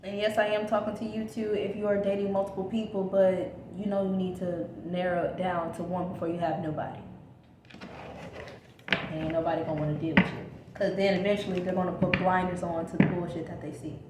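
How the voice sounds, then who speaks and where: A woman's voice: on the low side, flat delivery, a little fast, reverberant. A woman giving a casual tarot reading to her viewers, repeating reassurances and checking in with "okay?", her voice a little scratchy from a coming cold. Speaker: tarot reader.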